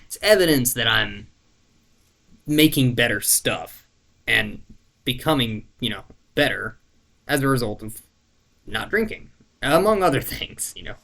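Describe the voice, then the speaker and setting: A man rambling to the camera in a relaxed, self-deprecating way, stopping often to hunt for the right word. His voice sits at a middle pitch with a lot of creaky fry. He fills the gaps with ums, likes and a casual swear. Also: unhurried, long pauses. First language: not French. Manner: rambling, searching for words, frequent fillers and restarts. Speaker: YouTuber.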